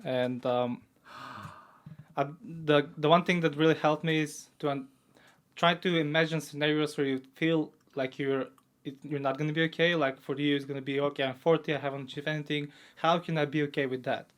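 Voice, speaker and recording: A young man co-hosting a podcast, speaking in a relaxed, casual way with offhand swearing. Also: fairly high pitch, fairly quick. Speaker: podcast co-host. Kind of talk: casual chat.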